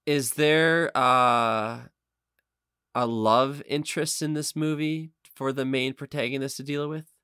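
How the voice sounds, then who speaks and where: A man in a casual chat as a podcast co-host, thinking out loud and swearing casually. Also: medium pitch, steady tone, takes it slowly, clean tone, dry recording, studio quality. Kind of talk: casual chat, guessing game among friends.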